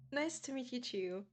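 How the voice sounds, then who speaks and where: A woman from Germany with a German accent, chatting casually with viewers as a game streamer and laughing often. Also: high-pitched. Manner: giggly, chatty, often laughs between sentences.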